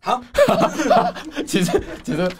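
Casting: young male host, high and extremely animated, giggly casual banter, heavy noise on an off-mic pickup. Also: takes it slowly. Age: young adult. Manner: giggly, laughs through his talk. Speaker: show host. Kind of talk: casual playful chat. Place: small padded radio studio.

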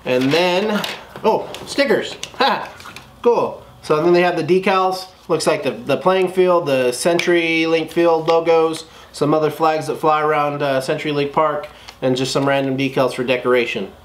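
A man's voice: a little high, normal speed. A man in his thirties hosting a casual unboxing review in a small room, upbeat and chatty with his viewers. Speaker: channel host. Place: small indoor room at a table.

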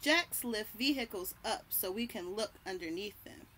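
A young adult woman reading a picture book aloud to children, deliberately, pausing to point out and label the pictures. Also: mid-range voice, animated, bright, forceful tone, low-quality recording. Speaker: children's read-aloud host. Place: outdoors on a house porch by trees. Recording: birdsong and light outdoor background noise. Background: birds chirping.

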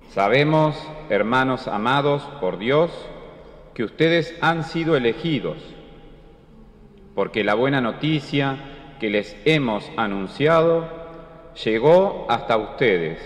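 An older man, solemnly reading scripture as a lay reader at a lectern. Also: mid-range voice. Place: large brick church. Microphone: lectern gooseneck microphone. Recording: echoey church, long reverb.

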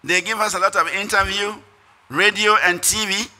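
High, bright-voiced older Ghanaian man. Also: steady tone, moderate pace. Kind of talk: prepared speech read at a lectern. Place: conference hall stage. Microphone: podium microphones.

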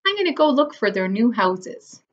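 A woman voicing the big bad wolf in a children's story, calling out loudly and demandingly. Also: fairly high pitch, sing-song, moderate pace, voice pushed up. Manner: loud demanding calls. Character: big bad wolf, loud bossy male. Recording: dull lo-fi sound.

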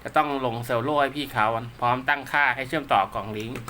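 A man with a bright, forward voice talks casually through hands-on technical work. He is polite and rambles a little, as if half talking to himself while handling gear. Faint ambient noise sits behind him. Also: mid-range voice, fast. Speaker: radio technician and seller presenting his work. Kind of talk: casual hands-on unboxing commentary.

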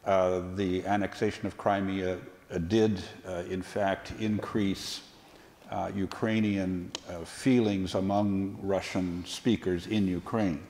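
A man speaking as a panelist, measured and deliberate, with many uhs as he builds his point. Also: low voice, flat delivery, darkish tone. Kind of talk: academic panel discussion. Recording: long hall reverb.

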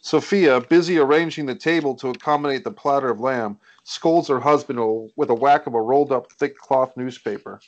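Man, middle pitch, scripted narration, screenplay action lines, table read. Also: a little fast, hardly any pauses, lo-fi sound. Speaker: stage directions reader.

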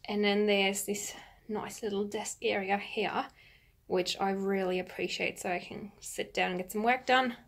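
A young woman chatting casually to her viewers as a travel vlogger, with a husky voice. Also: mid-range voice, fairly even intonation, normal speed. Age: young adult. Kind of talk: casual vlog chat to viewers. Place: small hotel room. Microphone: handheld camera at arm's length.

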